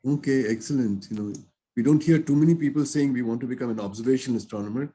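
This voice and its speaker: A man with an Indian English accent, hosting an online class as lecturer and speaking in a halting way with many fillers, over a video call. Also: medium pitch, dark tone, husky. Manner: halting, lots of uh and restarts.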